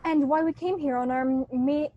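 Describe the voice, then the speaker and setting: A woman's voice: high voice, steady tone, normal speed, lo-fi sound. A female student actor reading and redoing lines for a radio play.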